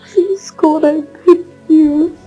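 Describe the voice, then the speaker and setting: An earnest, troubled young Nigerian woman in a screen drama. Her voice is high and mellow and moves at a slow pace, with faint music behind it. She sounds plaintive and repeats her phrases. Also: expressive, rough recording. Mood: tearful pleading. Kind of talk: film drama acting.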